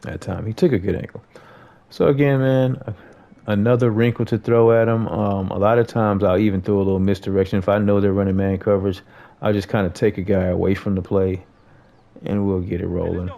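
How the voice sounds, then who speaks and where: Low-pitched, mellow American man, slightly gravelly. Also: fairly even intonation, fairly quick. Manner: informal, conversational, addressing viewers as fellas and man. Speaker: gaming tutorial host. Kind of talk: casual gameplay tutorial commentary.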